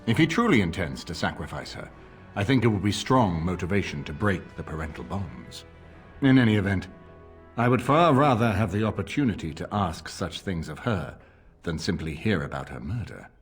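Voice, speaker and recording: A man playing a shrewd middle-aged nobleman in a video game, speaking in a formal, deliberate and eloquent way. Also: deep voice, very animated, faint music behind. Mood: measured, calculating.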